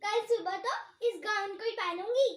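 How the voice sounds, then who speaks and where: Very high-pitched, emotional young wife, acted by a woman. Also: normal speed, a bit bright. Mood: determined, pleased. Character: food-loving daughter-in-law, emotional, easily hurt, determined. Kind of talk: amateur moral story acting in Hindi. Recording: roomy, echoing sound.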